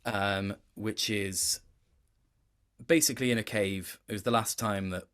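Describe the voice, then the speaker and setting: A man's voice: fairly low pitch, steady tone, a little slow, fairly bright tone, clean tone. A man chatting casually as an interview guest, reflective and hesitant as he thinks aloud. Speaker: guest, game voice actor.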